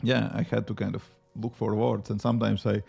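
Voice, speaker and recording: Gravelly Latvian-accented man streaming. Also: fairly low pitch, level tone, brisk, darkish tone, soft background music. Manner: rambling, answers chat questions while working, many fillers. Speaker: livestreaming app developer. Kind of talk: casual live coding chat.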